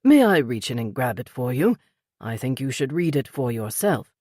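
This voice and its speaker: A woman voicing a polite, unflappable older British gentleman with a clipped British accent. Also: rumbling low, sing-song, moderate pace, no room sound, clean studio sound, exaggerated voice. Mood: calm, polite.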